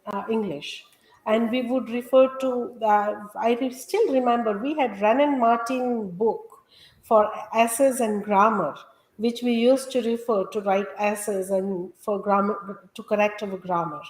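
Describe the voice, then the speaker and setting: This woman talks in an earnest, explanatory way, her voice pitched a little high. The recording has a roomy, echoey sound. She speaks as a guest, steadily walking the listener through her points. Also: fairly even intonation, measured pace, a little mellow. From India. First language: Gujarati. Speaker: guest, health coach. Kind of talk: conversational interview.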